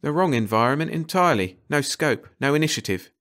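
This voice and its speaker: A man acts out an absent-minded, enthusiastic male ecologist from an audiobook. He talks in brisk, telegraphic bursts, with the pitch lifted. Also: lively intonation, fairly bright tone. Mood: keen, observant. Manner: clipped, telegraphic phrases. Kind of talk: audiobook character dialogue.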